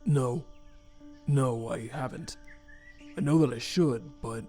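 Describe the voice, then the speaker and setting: A man playing a remorseful, haunted skeleton scientist and father, speaking formally and haltingly with earnest care in a fan comic dub. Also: mid-range voice, darkish tone, soft background music. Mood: subdued, guilty.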